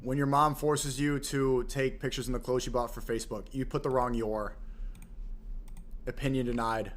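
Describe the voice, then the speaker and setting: A man's voice: medium pitch, level tone, normal speed. A man livestreaming casual reactions and reading chat aloud, blunt and profane in his slang. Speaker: live streamer.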